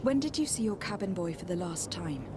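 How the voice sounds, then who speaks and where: An actress playing a courteous, composed young noblewoman envoy in a video game, speaking in a measured, polite way. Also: on the low side, lively intonation, noisy background, lightly acted. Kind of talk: video game cutscene acting.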